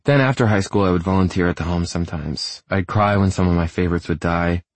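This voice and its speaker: A man's voice: low-pitched, fast talker, no room sound, lightly acted. A man voicing a bubbly, self-conscious, sweetly oddball young woman in an audiobook, gushing and a little hesitant. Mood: playful, chatty. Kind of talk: audiobook character dialogue.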